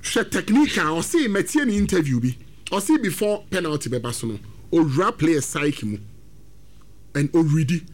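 Animated Ghanaian man talking football. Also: fairly high pitch, normal speed. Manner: animated, switches between English and Twi. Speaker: sports radio host. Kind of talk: football talk commentary.

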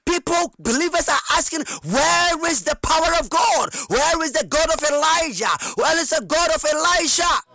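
A very high-pitched man with a Ghanaian accent delivers an impassioned sermon with a bright, forceful edge. His pitch moves in lively swings as he repeats phrases, throws out rhetorical questions and breaks into shouts or chant. He is a native Twi speaker and preaches with heavy emphasis. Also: moderate pace, hardly any pauses, dry recording.

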